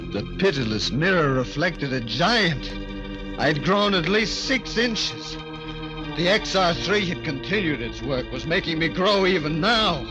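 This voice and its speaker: An actor playing an anguished, self-pitying killer giant in an old-time radio horror drama, theatrical and overwrought. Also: male voice, a little high.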